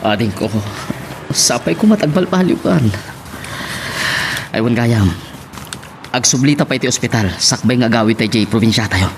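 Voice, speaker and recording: Actor as a firm older brother, medium pitch. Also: male voice, lively intonation, normal speed, long pauses, noisy background, natural voice. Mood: firm, concerned. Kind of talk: Ilocano radio drama acting. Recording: music under the dialogue.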